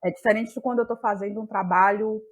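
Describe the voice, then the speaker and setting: A woman with a Bahian Brazilian accent talking reflectively in an interview, feeling her way haltingly through her thoughts. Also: medium pitch, steady tone. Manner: thoughtful, halting, searching for words. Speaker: guest, playwright and actress.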